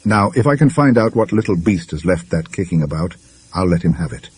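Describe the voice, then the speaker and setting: Man reading as grumpy curt storybook gentleman, testy and rattled, husky, swoopy pitch. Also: lively intonation, fairly quick, no room sound, exaggerated voice. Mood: indignant grumbling. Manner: grumbling, curt, indignant. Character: glum, testy, irritable gentleman, easily rattled. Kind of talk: audiobook character voice in a ghost story.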